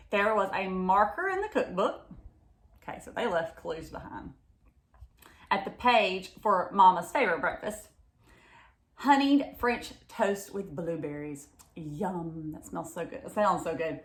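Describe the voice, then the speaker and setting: A woman reads a picture book aloud to young children in an expressive way, pausing to explain and ask them simple questions. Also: medium pitch, reverberant. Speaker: read-aloud storyteller for children.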